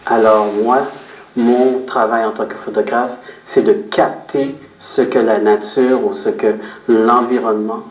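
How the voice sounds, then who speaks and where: A man's voice: medium pitch, fairly even intonation, unhurried. Reflective man in a roomy, distant recording. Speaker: interview guest, photographer and business owner. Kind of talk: interview answers, explaining personal philosophy. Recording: roomy echo, distant microphone.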